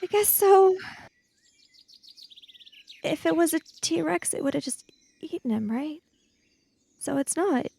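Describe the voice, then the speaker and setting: Woman acting out young girl, high voice, nervous, halting, unsure, tabletop role-play. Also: animated, creaky, mellow, full character voice. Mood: nervous, stammering. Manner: stammering, unsure. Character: frightened, hesitant kid named Lizzie, girl.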